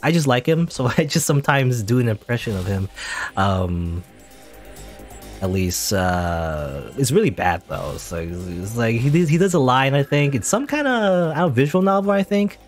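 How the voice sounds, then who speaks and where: A man talks his way through a video game in a loose, wandering commentary. His pitch swings up and down a lot as he goes, and he keeps stopping to correct himself. Faint game music plays underneath. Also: very animated, moderate pace. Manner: rambling, chatty, self-correcting. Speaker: gaming channel host. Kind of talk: casual let's play commentary.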